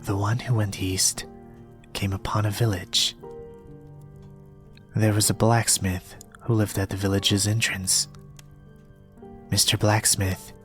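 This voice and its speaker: A young man reading a storybook in a soft-spoken, partly whispered ASMR style, with a gentle, measured delivery. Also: on the low side, little pitch movement. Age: young adult. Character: polite soft-spoken young male reader, quietly eerie. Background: soft music.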